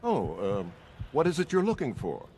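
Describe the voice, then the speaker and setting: An actor playing a pompous, blustering, slick middle-aged city mayor in a video game, with an oratorical delivery. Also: male voice, medium pitch, wildly swooping pitch, moderate pace, warm tone, a little hiss or hum. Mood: smooth.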